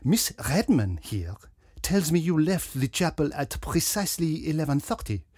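A man voicing a pompous, dapper little detective with a French accent, theatrical and deliberate, in a mystery story. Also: on the high side, very animated, darkish tone, slightly rough, no room sound, clean studio sound, voice pushed up. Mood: accusing. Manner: pompous, self-regarding, deliberate.

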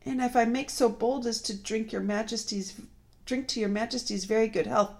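Humble, nervous old gentleman fox played by a woman for a storybook; stammering, deferential, raised pitch. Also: fairly even intonation, moderate pace, creaky. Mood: nervous, pleading. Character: timid, deferential old male fox, frightened. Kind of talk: storybook reading.

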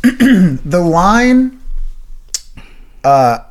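A young man co-hosting a podcast, talking in an earnest, casual way and clearing his throat now and then. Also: sits high, very animated, drawn-out words. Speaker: podcast co-host and writer. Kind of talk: casual chat.

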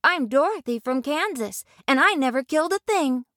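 A woman's voice: high voice, expressive, moderate pace, fairly bright tone, no room sound, pitched above the performer's own voice. This is a polite, earnest young farm girl from a storybook radio play, voiced by a woman narrator. She speaks in a raised, girlish voice that sounds sincere and pleading. The sound is dry and close.

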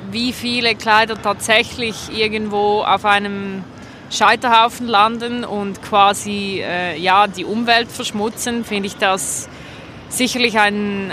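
A woman's voice: mid-range voice, bright, forceful tone. A woman with a Swiss accent giving casual, spontaneous answers in a street interview. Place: outdoors on a city street. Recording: outdoor street noise with traffic.

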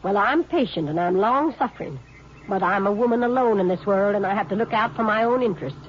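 An actress playing an indignant, suspicious rooming-house spinster in a 1950s radio drama. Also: female voice, medium pitch, brisk, slight background noise, close to an everyday voice. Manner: folksy, huffy, melodramatic. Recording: dull vintage radio recording.

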